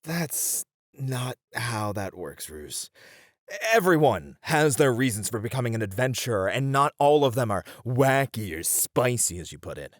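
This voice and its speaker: An earnest, sensible warrior, acted by a man in a fantasy roleplay. He speaks in a mid-pitched, very expressive voice, measured and a little exasperated, recorded dry and close. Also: dry recording. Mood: calm. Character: earnest, sensible, plain nice male warrior adventurer. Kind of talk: fantasy audio roleplay acting.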